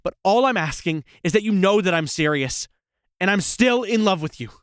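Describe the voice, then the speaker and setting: A man acting a remorseful, lovesick ex-boyfriend in an audio drama, speaking in an earnest, halting, pleading way. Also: high-pitched, expressive, a bit bright, clean tone, no room sound, clean studio sound. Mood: earnest, hopeful.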